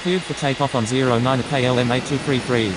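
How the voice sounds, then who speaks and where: A man's voice: mid-range voice, fast, lightly acted. A man playing a plain-spoken airline pilot giving procedural radio readbacks in a simulator game. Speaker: pilot on air traffic radio. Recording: clearly noisy, steady background hum.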